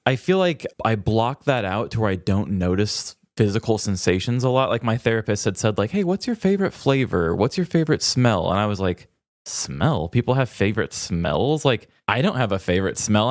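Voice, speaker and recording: A man's voice: on the low side, big pitch swings, normal speed, dry recording, clean studio sound. A male podcast co-host chatting casually in a candid, self-deprecating way. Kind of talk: casual conversational chat.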